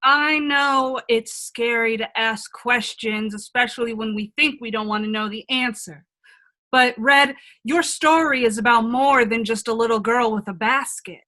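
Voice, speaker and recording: A woman plays a wise older fairy-tale self speaking out of a magic mirror. Her voice is fairly high and very bright, and she counsels frankly, with earnest reassurance, in radio play style. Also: unhurried. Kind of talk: comedic radio play acting.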